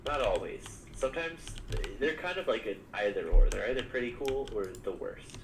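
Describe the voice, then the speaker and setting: Chatty, animated man on a distant mic. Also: moderate pace. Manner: chatty, animated, jokey squeaks. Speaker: Minecraft modpack streamer. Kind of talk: casual gaming chat. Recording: echoey, distant mic with clear background noise.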